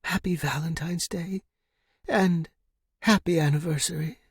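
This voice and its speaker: A man's voice: fairly high pitch, unhurried, husky, no echo, clean studio sound, voice pushed up. A man voicing a gracious, wistful elderly lady with a tender, formal manner in a storybook narration. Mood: tender, weary. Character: elderly wealthy widow, gracious, wistful and loving.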